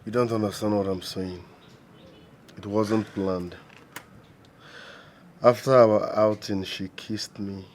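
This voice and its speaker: An actor playing a sheepish young man who explains himself in a calm, low, defensive way. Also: slow, long pauses, slight background noise.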